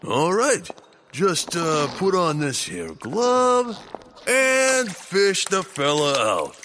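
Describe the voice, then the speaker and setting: A man's voice: high-pitched, wildly swooping pitch, very slow, bright, forceful tone, slight background noise, heavily acted. A man playing a dim, folksy pirate henchman with hesitant thug talk in a comic audio drama. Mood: coaxing. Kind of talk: audio comedy drama acting.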